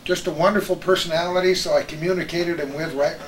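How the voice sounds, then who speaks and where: A man's voice: fairly high pitch, steady tone, fast. Older man hosting, roomy and distant. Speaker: host of a reminiscence show. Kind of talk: casual reminiscing chat. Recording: roomy, distant, faint background noise.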